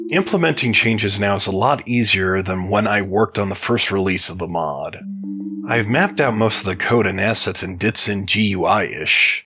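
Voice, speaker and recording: A man giving measured, explanatory interview answers as a game modder, sounding like he reads from prepared text. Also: on the low side, muffled recording, background noise.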